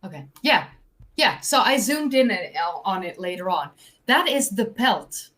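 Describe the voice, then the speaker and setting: Brief: woman, co-host on a live history stream, fast and chatty with lots of "like" and restarts, mid-range voice. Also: medium pitch, sing-song, a bit bright. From Netherlands, North Holland. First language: Dutch. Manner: chatty, quick, many fillers and self-corrections. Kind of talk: casual live-stream chat about archaeology.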